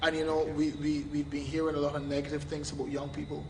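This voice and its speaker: A man's voice: on the high side, fast. Man hosting, echoey and distant. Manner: lively, laughs often, repeats words. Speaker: talk show host. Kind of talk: casual interview chat. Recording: echoey room, mic at a distance, noisy.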